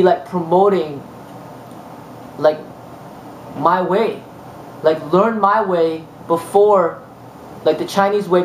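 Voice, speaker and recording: Martial arts teacher, man, slightly high voice, loose rambling talk, roomy sound. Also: slight background noise. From United States. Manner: rambling, many fillers and restarts. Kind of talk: casual monologue.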